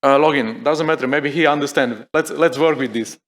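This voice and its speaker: Man presenting a live demo. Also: fairly high pitch. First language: Slovak. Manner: halting, many fillers and restarts, thinking aloud. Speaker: conference speaker. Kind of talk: live technical demo talk. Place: conference hall.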